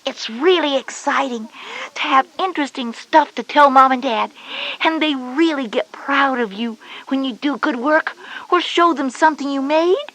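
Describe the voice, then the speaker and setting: A woman's voice: high voice, moderate pace, a bit bright, poor audio. A woman voicing a confident schoolgirl big sister, instructive and encouraging, in a children's educational audio drama. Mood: reassuring, encouraging.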